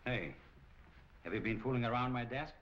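A middle-aged actor playing a smooth-talking, cynical crime boss in a 1940s film, with a sardonic, casual manner. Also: male voice, mid-range voice, moderate pace, natural voice. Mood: suspicious. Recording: muffled, noisy 1940 film soundtrack.

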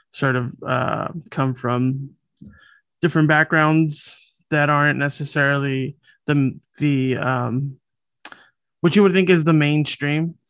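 A man in his late thirties from Los Angeles, a podcast guest talking in a relaxed, thoughtful way with many fillers and restarts. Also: mid-range voice, smooth, dry recording. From Los Angeles, USA. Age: late 30s. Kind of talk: casual conversational interview. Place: home room, over a video call.

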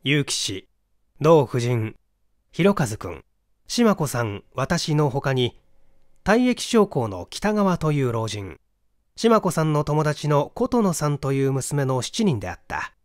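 A middle-aged man reading literary mystery fiction aloud in an expressive, dramatic storytelling manner. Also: on the high side, slow, no room sound, studio quality. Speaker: audiobook reader, stage actor.

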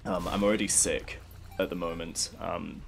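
A man's voice: deep voice, steady tone, normal speed, vocal fry, slight background noise. A young man with a congested, stuffy voice, rambling candidly and swearing casually as he commentates a game he is playing. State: congested from a cold. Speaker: gaming commentator.

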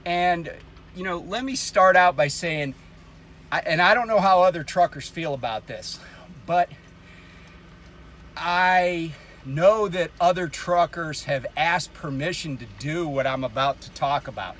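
Slow-talking trucker rambling in his cab. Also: on the high side, fairly bright tone, slight background noise. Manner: rambling, frequent ums, projecting voice. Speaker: truck driver vlogger, host. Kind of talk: casual talking-head vlog. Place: parked truck cab, indoors. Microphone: camera on the dash at arm's length.

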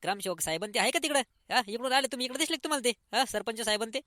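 A man playing an eager, hurried poor villager in a comic cartoon, with a rural Maharashtrian accent and urgent, colloquial speech. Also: extremely high pitch, lively intonation, bright, forceful tone, slight background noise, exaggerated voice. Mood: anxious, hurried. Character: eager, hurried poor villager awaiting his housing grant.

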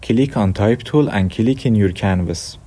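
A young man from Iran with an Iranian accent, hosting a software tutorial with plain step-by-step instructions. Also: lowish voice, a little slow. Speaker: tutorial host. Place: dim room at a desk.

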